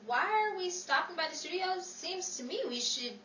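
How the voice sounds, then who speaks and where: A woman playing a scatterbrained, excitable young wife in a 1940s-style radio comedy, flustered and stammering with a theatrical delivery. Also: very high-pitched, normal speed, heavily acted. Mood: plain, chatty. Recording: roomy, distant, very noisy, loud crowd behind.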